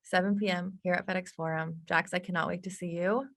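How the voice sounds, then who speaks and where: A woman's voice: fairly low pitch, fairly even intonation, brisk. North American woman hosting sports talk. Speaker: sports show host. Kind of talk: conversational sports interview.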